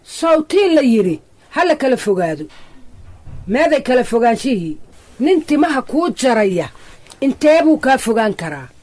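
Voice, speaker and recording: A woman's voice: high voice, sing-song, moderate pace, pauses often, darkish tone. A woman with a Somali accent playing a cautious, scolding grandmother in a radio drama, questioning and reproachful.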